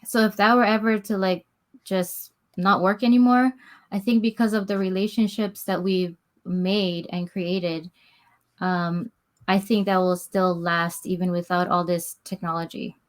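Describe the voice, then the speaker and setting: A woman guest on a video call, speaking hesitantly with many pauses and ums. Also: on the low side, fairly even intonation. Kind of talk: casual chat on a video call.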